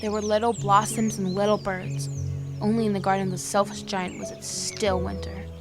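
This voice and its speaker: An actress playing a gentle young woman who reads a fairy tale aloud in an audio drama, measured and earnest. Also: medium pitch, flat delivery, lightly acted. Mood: earnest storytelling. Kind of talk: storybook narration in an audio drama. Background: music.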